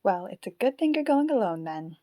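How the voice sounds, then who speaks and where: A woman voicing a curious, dryly teasing haunted female marionette in an audio drama, with measured delivery. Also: medium pitch, sing-song, mellow, no room sound, clean studio sound, natural voice. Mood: disapproving.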